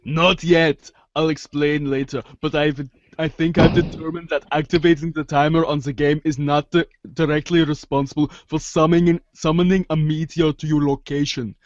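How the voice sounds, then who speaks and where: A man's voice: on the high side, little pitch movement, moderate pace, few pauses, no room sound, lightly acted. A man playing a formal, aloof young know-it-all who over-explains, in amateur reader's theater. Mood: clipped, aloof. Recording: dry, dull lo-fi recording.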